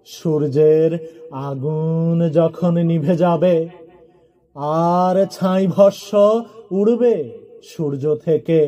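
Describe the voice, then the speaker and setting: A man with a high, mellow voice recites poetry solemnly. He moves extremely slowly, setting each phrase down deliberately. Dramatic pauses separate the measured phrases.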